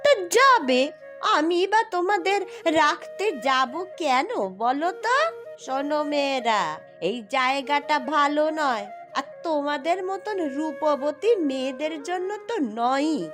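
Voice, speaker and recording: A woman voicing a crabby, bossy old village granny in a fairy-tale cartoon, scolding and suspicious with drawn-out words. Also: sits high, animated, fairly bright tone, soft background music. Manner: scolding, suspicious, grudging, drawling.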